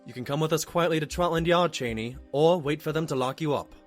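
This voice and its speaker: A man's voice: a little high, quick pace, soft background music, close to an everyday voice. A man playing an earnest, brave and kind sidekick stallion with a sincere, admonishing manner in an audio drama. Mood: firm, earnest. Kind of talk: cartoon audio drama acting.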